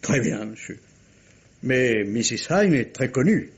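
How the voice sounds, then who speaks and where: A methodical police inspector in a vintage radio mystery. His voice moves expressively up and down with a husky edge, and he probes patiently, with some hesitation. The recording is dull and muffled. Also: mid-range voice, animated, slow pace, exaggerated voice. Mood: cautious, explanatory. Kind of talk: 1950s French radio mystery acting.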